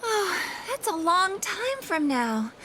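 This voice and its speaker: Tiny game creature, very high squeaky voice, bright, cute, excitable, swooping. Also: female voice, normal speed, a little hiss or hum. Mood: anxious. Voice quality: squeaky, childlike. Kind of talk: video game voice acting.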